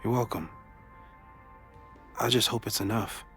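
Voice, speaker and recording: An actor playing a calm, cautious, scientifically minded man in a video game drama, reasoning in precise, formal phrases. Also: on the low side, measured pace, soft background music. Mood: subdued.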